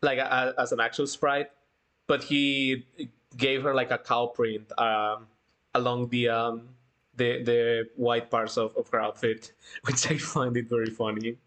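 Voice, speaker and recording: A man hosting a casual livestream chat, talking informally in a halting way and often repeating himself. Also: medium pitch, level tone, a bit bright. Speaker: livestream host.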